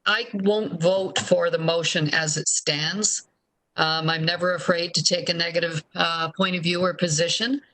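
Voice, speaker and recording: Man, city councillor, forceful bright tone, fairly high pitch, blunt debate remarks. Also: steady tone, moderate pace, low-quality recording.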